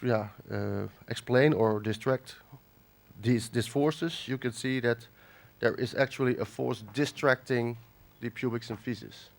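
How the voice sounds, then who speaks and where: A man from the Netherlands gives a conference lecture while nursing a cold. His delivery is halting, full of fillers and restarts, at a middling pitch. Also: gravelly. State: has a cold. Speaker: conference speaker. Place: conference hall.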